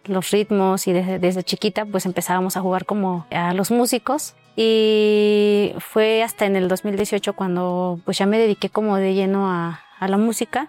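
A woman's voice: mid-range voice, darkish tone. Earnest Mexican woman singer. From Oaxaca, Mexico. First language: Mazatec. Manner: earnest, many fillers and restarts. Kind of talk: interview answers.

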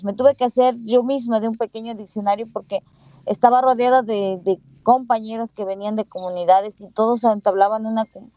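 A woman schoolteacher from Mexico speaking with a Mexican Spanish accent. Her voice sounds mellow and dark, and she pauses often with fillers and restarts as she recalls her experience. The recording is dry and somewhat muffled. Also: fairly high pitch, no room sound. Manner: hesitant, many fillers and restarts. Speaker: bilingual schoolteacher. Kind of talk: interview storytelling.

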